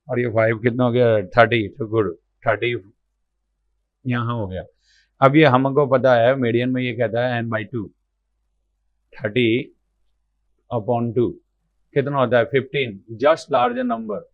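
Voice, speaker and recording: A man, slightly hoarse, teaching exam math problems step by step, repeating numbers as he works and asking himself questions. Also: mid-range voice, unhurried, pauses often, no room sound. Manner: explains aloud while writing, repeats numbers, asks and answers his own questions. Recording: dull lo-fi sound.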